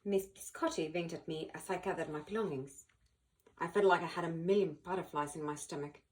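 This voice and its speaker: Expressive woman reading a children's story. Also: fairly low pitch, poor audio. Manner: animated, dramatic pauses and emphasis. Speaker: volunteer children's book reader.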